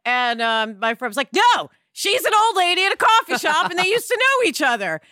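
A woman's voice: extremely high pitch, big pitch swings, a little fast, pushed and bright, dry recording, studio quality. An American woman from New York City in a casual panel discussion, talking emphatically and in anecdotes. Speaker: podcast panelist.

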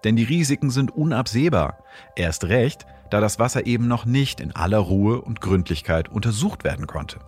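A man hosting a science podcast, giving measured, explanatory scripted narration. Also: lowish voice, expressive, darkish tone, faint music behind. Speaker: host and astrophysicist.

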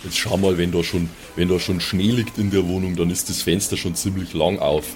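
A man's voice: deep voice, steady tone, fairly quick. A man, chatting casually as a player in an improvised tabletop game. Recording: clear background noise.

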